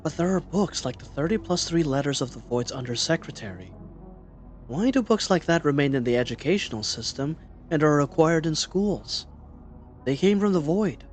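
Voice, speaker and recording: An inquisitive young male adventurer from a fantasy audio drama, played by a man. His bookish, expressive voice swings widely in pitch. Faint music plays behind him. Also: normal speed, lightly acted. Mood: curious. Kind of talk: fantasy audio roleplay acting.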